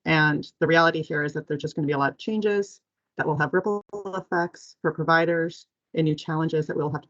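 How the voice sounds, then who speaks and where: A woman giving a measured, explanatory semi-formal presentation as agency staff on a muffled conference call. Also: sits low.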